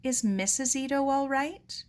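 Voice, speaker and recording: A woman's voice: high voice, measured pace, voice pushed up. A woman voicing an earnest, sympathetic young girl who speaks plainly. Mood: sad, concerned.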